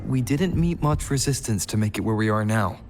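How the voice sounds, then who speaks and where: Casting: man to play considerate game companion, measured and cautious, slightly low, clear background noise. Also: animated, lightly acted. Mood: cautious concern. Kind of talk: video game voice acting.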